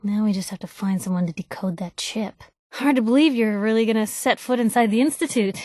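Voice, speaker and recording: A brash young newswoman from a video game, played by an actress, sarcastic yet caring, in a medium-pitched voice. She talks in an expressive, conversational way, close and dry with no room around her. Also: brisk, no room sound, lightly acted. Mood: earnest, uneasy. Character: feisty, sarcastic young woman reporter, tough but caring companion. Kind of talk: video game companion voice lines.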